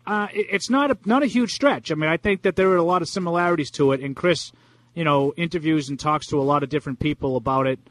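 A man's voice: on the high side, quick pace, a bit bright, dry recording, low-quality recording. A man with a Boston accent co-hosting a sports talk radio show, rambling through his points with restarts and asides. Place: radio studio.

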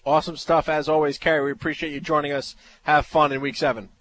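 Man, Jersey accent, bright, slightly high voice, joking sports radio host. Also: flat delivery, fast. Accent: New Jersey. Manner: joking, teasing. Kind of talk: casual sports radio banter.

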